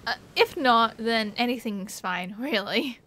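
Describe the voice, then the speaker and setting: Woman acting a high-voiced playful young Australian. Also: expressive, unhurried, pushed and bright. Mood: cheerful, excited. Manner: playful, slangy, giggly. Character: cheerful, teasing young woman at a beach party. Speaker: roleplay voice actor. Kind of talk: casual ASMR roleplay, one side of a chat.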